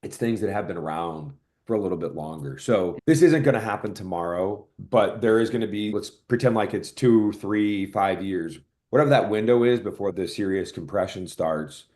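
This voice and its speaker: A man's voice: low voice, normal speed, few pauses, darkish tone. A man speaking as an expert podcast guest, explaining things analytically and correcting himself as he thinks aloud. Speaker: podcast guest, software product executive. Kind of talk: conversational expert explanation in an interview.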